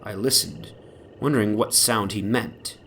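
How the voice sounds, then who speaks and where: Male narrator, lower-pitched, formal and theatrical, gothic horror reading over an atmospheric noisy soundscape. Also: unhurried, gravelly, a bit bright. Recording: background noise from an ambient soundscape.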